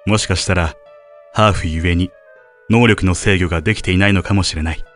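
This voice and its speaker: A man acting as a grave researcher and father, narrating his private journal in an audio drama. His voice sits on the low side, solemn and measured, with music playing behind it. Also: steady tone, fairly bright tone. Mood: grave, uneasy. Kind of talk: dramatic narration of diary entries.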